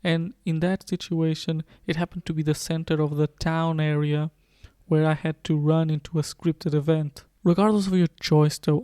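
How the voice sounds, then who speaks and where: A man reading a scripted video game review in a measured, matter-of-fact manner, recorded as a close, dry voice-over. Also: fairly high pitch, warm tone, no echo.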